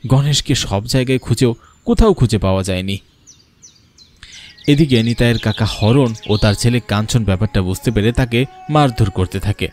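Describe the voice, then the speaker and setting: A man's voice: medium pitch, moderate pace, a little mellow, slight background noise. A man narrating a scripted horror cartoon story. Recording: faint background music. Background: faint music.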